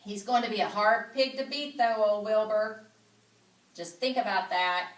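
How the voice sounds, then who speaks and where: A woman voicing a polite, dryly critical, weary aging female spider in a children's storybook, in a measured way. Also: medium pitch, close to an everyday voice. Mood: critical, confiding. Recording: roomy echo, distant microphone.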